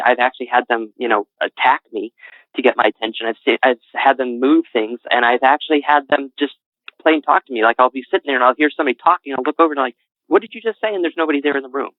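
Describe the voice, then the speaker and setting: A man's voice: mid-range voice, fast, dry recording. Interview guest, man, faint New England accent, earnest and rambling, dry tinny line. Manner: rambling, self-correcting, earnest. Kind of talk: casual interview talk.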